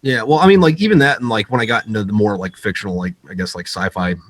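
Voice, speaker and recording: A man's voice: fairly low pitch, fast, no room sound. An American man, a military veteran and guest author, telling stories in casual, profane, slangy podcast chat with plenty of filler. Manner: salty, frequent profanity, slangy filler-heavy delivery.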